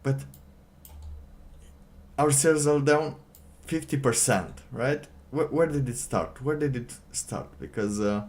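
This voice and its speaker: A man doing casual livestream commentary, reading aloud and reacting in a halting, sardonic way, often repeating his phrases. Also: medium pitch, darkish tone. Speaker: livestream host.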